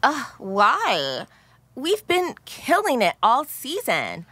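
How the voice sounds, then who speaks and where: Sketch comedy teen, voiced by a woman: skeptical, hesitant, deadpan, very unhurried, dry close sound. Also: high voice, dry recording, pitched above the performer's own voice, exaggerated voice. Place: podcast studio. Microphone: close studio microphone.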